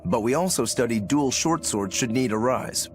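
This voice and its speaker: A man voicing a determined swordsman demon in a video game, explaining things in a measured way. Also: medium pitch, lively intonation, a little hiss or hum, lightly acted. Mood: earnest, resolute. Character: determined swordsman demon, stoic younger brother to a master. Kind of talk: English video game voice acting. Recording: game music under the voice.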